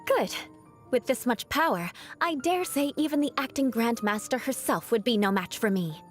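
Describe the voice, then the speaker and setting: A woman's voice: on the high side, animated, normal speed, faint music behind, lightly acted. A young woman voicing a proud, haughty noblewoman knight in a video game, an outcast bent on revenge, with formal, dramatic diction. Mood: confident, vowing. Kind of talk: video game character voice lines.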